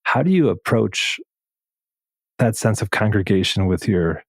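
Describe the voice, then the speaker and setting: Man, low voice, dry studio-like sound, gentle tentative interviewer, conversational. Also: expressive, a little slow, husky, dry recording. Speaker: podcast interviewer.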